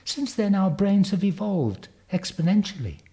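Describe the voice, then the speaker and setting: A precocious ten-year-old girl from the future, as a male reader plays her, pitched high and lilting with animated swoops. She is confident, brisk and matter-of-fact, like a storybook prodigy. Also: vocal fry, exaggerated voice. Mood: brisk, assured. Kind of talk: storybook character reading.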